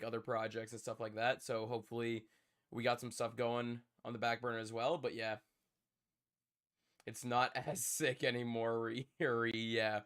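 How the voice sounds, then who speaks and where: A man's voice: mid-range voice, a bit bright, smooth. A young man with a congested voice, chatting casually with his live-stream viewers and rambling with repeats. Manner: rambling, repeats himself, talks to chat. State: congested from a cold.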